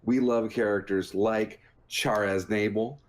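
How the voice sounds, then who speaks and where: A man's voice: on the low side, steady tone, unhurried, a little hiss or hum. A man co-hosting with casual comedic banter, sarcastic and playfully mock-serious. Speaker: co-host.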